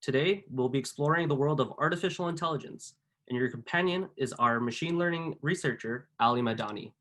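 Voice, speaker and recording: A man hosting a webinar and reading a prepared introduction at a brisk clip. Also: medium pitch, fairly bright tone. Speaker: webinar host.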